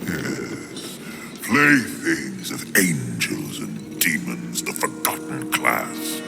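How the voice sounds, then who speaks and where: Ancient otherworldly lord, game role: bottomless gravelly bass, slow formal delivery, expressive swings. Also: male voice, full character voice. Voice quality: gravelly, cavernous. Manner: slow, grave, formal pronouncements with dramatic pauses. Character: ancient solemn Lord of Hollows, demonic soul-gathering being. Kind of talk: dramatic video game acting.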